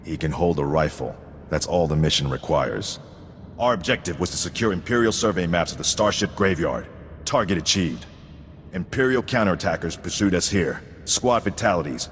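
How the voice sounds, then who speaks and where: A man's voice: low-pitched, animated, moderate pace, a bit bright. An actor playing a stern, unflappable army sergeant in a video game, clipped and cold in his military delivery. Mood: stern, commanding. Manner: clipped military delivery, reciting figures coldly. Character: stern, unflappable male army sergeant who demands discipline. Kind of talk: video game cutscene acting. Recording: echoey hall, noisy ambience behind.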